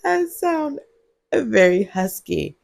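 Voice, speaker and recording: A woman talks straight to her viewers through an earbud mic, groggy on cold medicine and drifting from thought to thought. Her voice is husky with a gravelly edge, mid-pitched. The sound is dry and close, with no room around it. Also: big pitch swings, takes it slowly, a little mellow, no room sound. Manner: rambling, giggly and drowsy. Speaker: vlogger. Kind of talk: casual vlog monologue.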